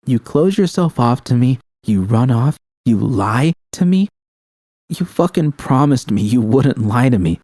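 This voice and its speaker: A man's voice: medium pitch, expressive, a little slow, dark tone, dry recording, studio quality. A man voicing a hurt, bitter young woman in a story, a betrayed lover who accuses with venom and swearing.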